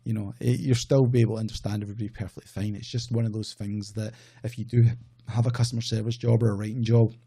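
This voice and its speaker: A man's voice: fairly low pitch, little pitch movement, quick pace, creaky, mellow, breathy edge. A man with a Scottish accent, hosting his own channel and talking casually to camera, rambling and correcting himself as he goes. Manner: rambling, self-correcting, conversational. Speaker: outsourcing business channel host.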